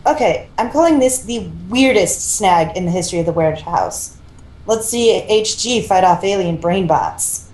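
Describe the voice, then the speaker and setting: A woman's voice: medium pitch, animated, normal speed, a little mellow, close to an everyday voice. Woman reading as wry male agent, echoey room. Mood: uneasy, incredulous. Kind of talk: amateur fan fiction reading. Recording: roomy, echoing home recording.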